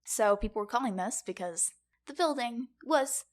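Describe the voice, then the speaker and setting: A woman's voice: a little high, lively intonation, moderate pace. A young adult woman co-hosting a podcast, explaining a topic in a casual conversational way. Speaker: podcast co-host presenting the episode's topic.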